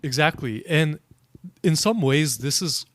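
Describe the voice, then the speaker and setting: A man's voice: on the high side, expressive, unhurried, dry recording. A man being interviewed, recounting his experiences in an earnest, conversational way and often checking in with the listener. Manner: earnest, keeps checking in with tag questions. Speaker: interview guest. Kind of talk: conversational storytelling.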